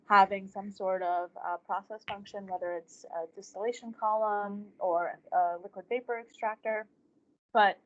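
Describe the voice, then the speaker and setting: A young woman from Florida chats easily about her studies and laughs now and then. Her voice sits at an ordinary pitch. The sound is dull and lo-fi, like a video call. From Southeast Florida, US. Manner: chatty, with light laughs. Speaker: panelist, chemical engineering student. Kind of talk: casual panel talk to students.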